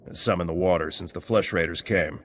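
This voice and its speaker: An actor playing a gruff, dutiful male guard in a video game, talking in terse, clipped sentences. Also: deep voice, brisk, fairly bright tone, slight background noise. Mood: grim. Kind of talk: video game dialogue acting.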